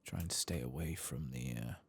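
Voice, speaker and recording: A soft-spoken, hushed man giving unhurried, understated ASMR commentary over a video game. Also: very deep voice, gravelly. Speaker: host of a gaming playthrough channel. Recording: faint background noise.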